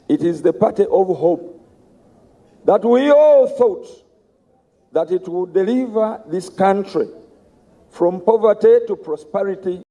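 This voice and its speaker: Hoarse, slow-spoken man orating. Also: sits high, dark tone. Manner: slow, emphatic orator. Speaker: candidate for party office. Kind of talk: campaign speech at a party conference.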